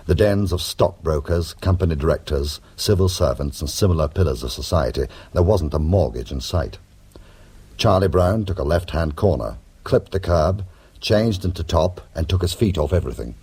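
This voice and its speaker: A man's voice: extremely low pitch, expressive, normal speed, darkish tone, husky, heavily acted. An actor playing a wry, urbane male criminal in a radio drama, speaking and narrating in a dry, sardonic, literary manner. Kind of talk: radio drama acting and first-person narration.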